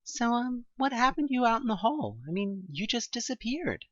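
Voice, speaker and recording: A man's voice: very high-pitched, big pitch swings, fairly bright tone, dry recording, dull audio, pitched above the performer's own voice, full character voice. A man acting an earnest, panicky young protagonist who asks question after question in an amateur audio drama. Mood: hesitant. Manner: breathless rapid-fire questioning.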